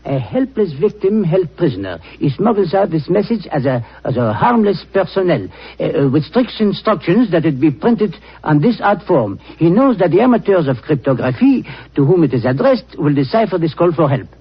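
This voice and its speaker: Animated, husky Frenchman. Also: a little high, moderate pace, full character voice. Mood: excited. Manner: theatrical, hesitant, mercurial. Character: excitable, skeptical French gentleman visitor. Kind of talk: 1940s radio acting. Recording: muffled vintage radio recording.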